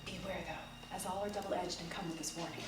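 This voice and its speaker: A woman's voice: fairly low pitch, fairly even intonation, fairly quick. Distant, echo-laden woman reading dramatically. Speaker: performer in a staged ensemble reading. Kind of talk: dramatic literary storytelling, scripted narration. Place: theatre stage, live performance. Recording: echoey live theatre, distant, music behind. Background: music and sound design.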